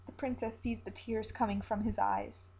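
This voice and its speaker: A woman storyteller reading a dark, dramatic tale as scripted narration. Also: medium pitch, steady tone, moderate pace, creaky, slightly rough, rough recording.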